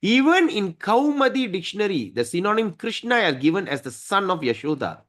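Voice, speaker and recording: A man's voice: high-pitched, very animated, fairly quick, a bit bright. A man teaching a scripture study class, emphatic and often asking his listeners "right?".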